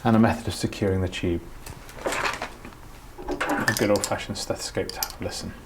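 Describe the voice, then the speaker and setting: A man's voice: fairly low pitch, fairly even intonation, fairly quick, heavy background noise. A middle-aged man, a doctor, giving spoken practical instruction in an informal, explanatory manner. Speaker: doctor giving a training demonstration.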